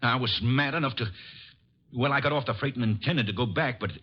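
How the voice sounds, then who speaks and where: Male actor as vengeful drifter, husky, insistent, radio drama delivery, dull vintage recording. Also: medium pitch, brisk, close to an everyday voice. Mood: weary, bitter. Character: bitter, vengeful drifter wrongly blamed for a killing. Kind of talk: 1950s radio drama acting. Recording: muffled old radio recording.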